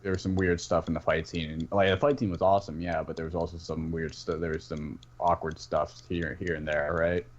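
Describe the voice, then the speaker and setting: A man in a casual fan discussion, talking haltingly and often repeating his words as he goes. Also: little pitch movement. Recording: muffled, noisy low-fi call audio.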